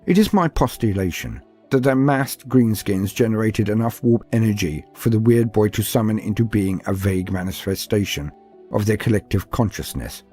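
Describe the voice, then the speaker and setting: Dramatic male storyteller with a husky voice. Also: lowish voice, mellow. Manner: theatrical, with dramatic pauses and asides. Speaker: narrator of a lore guide. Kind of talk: dramatic scripted storytelling narration.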